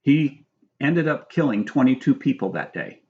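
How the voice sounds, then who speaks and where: A man's voice: mid-range voice, very animated, moderate pace, a little mellow. American man reading, slightly dull recording. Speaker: author reading own book. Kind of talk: audiobook-style reading of nonfiction with spoken asides.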